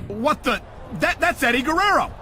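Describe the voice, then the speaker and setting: Very high-pitched wrestling announcer. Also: male voice, big pitch swings, moderate pace, music in the background. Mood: incredulous, urgent. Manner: dramatic, emphatic. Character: earnest male wrestling play-by-play announcer. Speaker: play-by-play announcer. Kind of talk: sports commentary in a video game.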